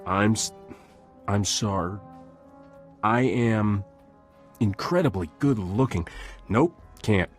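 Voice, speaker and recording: A roguish starship captain in a game. He is cocky and dryly flippant, in a low voice with music behind him. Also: takes it slowly, long pauses. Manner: flippant, dry. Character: cocky, wisecracking male smuggler captain. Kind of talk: video game cutscene acting.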